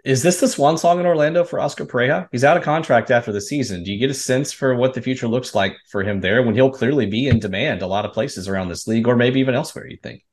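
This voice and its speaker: A man's voice: mid-range voice, quick pace, few pauses. A man hosting a sports show, putting conversational questions to a guest. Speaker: sports show host, interviewer.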